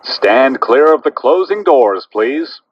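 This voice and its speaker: A man's voice: mid-range voice, animated, slow pace, husky, highs cut off. A man giving formal, instructing pre-recorded announcements on a subway train.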